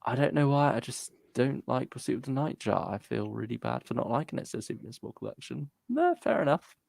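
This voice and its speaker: Gravelly, creaky-voiced man in casual discussion. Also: medium pitch, sing-song, brisk, darkish tone, dry recording. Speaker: co-host of a fan discussion show. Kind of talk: casual chat, reading out viewer comments.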